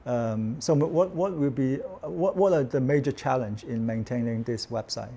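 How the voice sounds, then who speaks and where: A male interviewer with a mellow, middle-pitched voice. He introduces the episode and then puts polite questions to his guest, sometimes stumbling and restarting mid-sentence. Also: gravelly, husky. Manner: polite, slightly halting questions. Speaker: interviewer and host. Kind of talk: scripted narration and interview questions.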